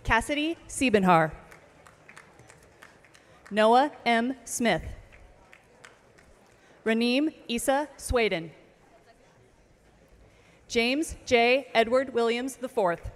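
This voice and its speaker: A woman reading out graduate names at an outdoor commencement, slow and measured, over a PA system. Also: a little high, lively intonation. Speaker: name reader at commencement. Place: outdoor ceremony stage. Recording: long reverb of outdoor PA.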